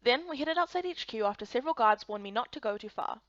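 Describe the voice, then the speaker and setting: High, bright woman narrating a game story. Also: lively intonation, fast, dry recording, highs cut off. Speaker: narrator and voice actor of a visual novel game. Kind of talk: scripted first-person story narration.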